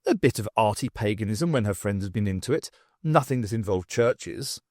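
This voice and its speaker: A man reading scripted story narration as an audiobook narrator, with expressive, dramatic phrasing. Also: on the low side, moderate pace, no echo, clean studio sound.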